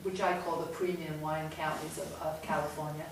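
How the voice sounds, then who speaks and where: A middle-aged woman with a loud voice gives a chatty, animated talk to an audience as a guest author. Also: deep voice, moderate pace. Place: large echoey room from far off. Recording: echoey room, distant mic, faint noise.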